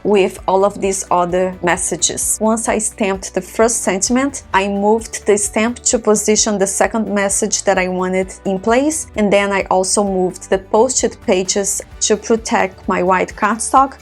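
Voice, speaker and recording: Calm woman explaining a craft tutorial. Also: mid-range voice, a little slow, few pauses, faint music behind. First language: Portuguese. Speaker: card-making crafter. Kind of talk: step-by-step craft tutorial narration.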